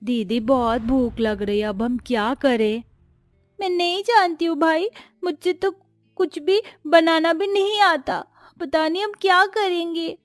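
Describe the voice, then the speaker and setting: A woman's voice: extremely high pitch, a little slow, no echo, studio quality, soft background music. A woman voicing a hungry orphaned small child in a cartoon, plaintive and tearful. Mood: hungry, pleading.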